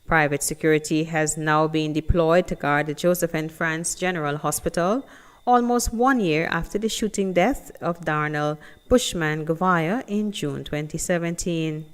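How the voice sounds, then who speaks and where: Low, clear newswoman. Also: moderate pace, soft background music. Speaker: news reporter. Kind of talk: scripted news narration.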